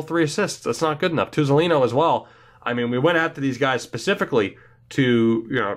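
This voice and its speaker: A young man hosting a gaming video, giving excitable, rambling let's play commentary on a hockey video game while congested from a cold. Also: medium pitch. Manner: excitable, rambling, thinks aloud.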